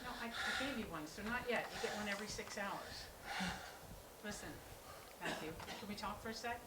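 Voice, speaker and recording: A woman's voice: mid-range voice, a little fast, lightly acted. A woman in a staged play reading, playing a caring but exasperated adult sister with a conversational, coaxing manner. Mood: gently firm. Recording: roomy, distant mic with clear noise.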